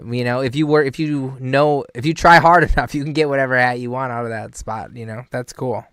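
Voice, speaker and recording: A man in his thirties hosting a relaxed interview, laughing often and calling his guest dude. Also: medium pitch, a little fast, smooth, no room sound, clean studio sound. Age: 30s. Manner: laid-back, laughs often, says dude a lot. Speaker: podcast host. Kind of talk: casual interview chat.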